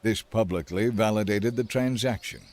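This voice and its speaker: A man narrating a dramatized scripture audiobook in a measured, scripted storytelling style. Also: lowish voice, darkish tone, natural voice. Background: music.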